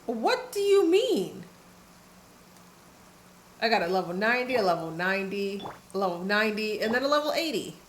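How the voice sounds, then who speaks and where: A woman's voice: mid-range voice, very animated, normal speed, pauses often. A woman live-streaming a game, making casual, slangy and dry commentary. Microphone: streamer's microphone. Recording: roomy home mic, game music behind.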